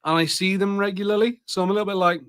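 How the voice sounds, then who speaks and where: A man co-hosting a podcast, chatting casually in a halting, hesitant way. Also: sits high, fairly even intonation, a bit bright, clean tone. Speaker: podcast co-host. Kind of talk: casual chat.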